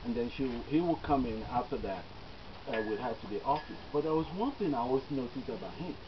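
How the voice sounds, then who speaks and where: A man's voice: medium pitch, animated, fast. A middle-aged man with a Nigerian accent, a physician giving an earnest eulogy with many fillers, recorded outdoors by a far camera. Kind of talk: personal eulogy to an outdoor gathering. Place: outdoors under a tent on a grass field. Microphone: far camera, no mic. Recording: dull lo-fi camera audio with loud outdoor noise.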